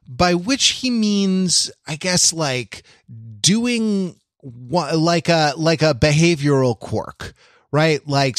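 A man co-hosting a podcast in casual chat, talking in a rambling, halting way and circling back to repeat phrases. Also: mid-range voice, fairly bright tone, no room sound, clean studio sound. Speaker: podcast co-host.

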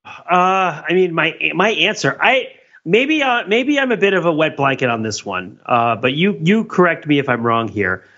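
A man with a fairly high, lively voice chats as a co-host. He offers opinions with amused qualifications, sounding bright through a slightly dull, lo-fi mic. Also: moderate pace. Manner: hedging, amused. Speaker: podcast co-host. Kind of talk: casual opinionated chat.

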